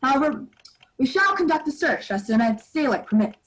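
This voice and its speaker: A woman's voice: high voice, big pitch swings, fairly quick. A young woman playing a dignified, skeptical male astronomy professor with a formal, assured manner in a radio play. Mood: assured, dismissive. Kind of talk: scripted radio play acting. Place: living room, video call. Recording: roomy video call sound.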